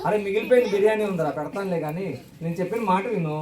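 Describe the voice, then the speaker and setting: A puppet show host speaks very quickly, teasing and firing questions at puppets and children. The voice is heard from a distance in an echoey church hall with crowd noise. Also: mid-range voice. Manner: teasing, questioning, repeats phrases. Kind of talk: playful live banter with puppets and children.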